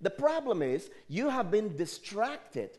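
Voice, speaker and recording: Male spiritual speaker, Liberian accent and native dialect speaker. Fairly high, very lively pitch, emphatic motivational sermon delivery. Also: slow. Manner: emphatic, rhetorical, questioning the audience. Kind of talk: motivational sermon to a live audience.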